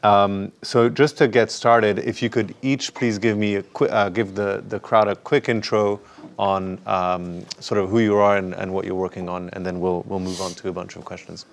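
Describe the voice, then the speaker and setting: A man moderating a conference panel, speaking haltingly with many fillers and restarts. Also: on the low side, fairly even intonation, vocal fry. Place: conference stage.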